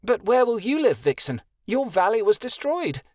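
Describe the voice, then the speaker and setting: A man's voice: sits high, expressive, fairly quick, no echo, pitched above the performer's own voice. A man doing a worried young wife in a storybook reading, raised well above his own voice and pushing back in protest. The sound is close and dry.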